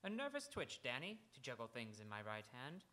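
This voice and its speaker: A man playing a morbid, nervy medical examiner in a radio noir drama, with dramatic, ominous, halting phrasing. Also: medium pitch, wildly swooping pitch, sharp tone. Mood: morbid, intense. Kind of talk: theatrical old-time radio noir acting.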